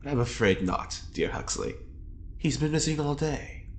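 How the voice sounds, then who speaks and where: An actor playing a wry, teasing prisoner and schemer in an audio drama, with a droll, arch, playful manner. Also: male voice, a little high, lively intonation, measured pace, creaky, a little hiss or hum.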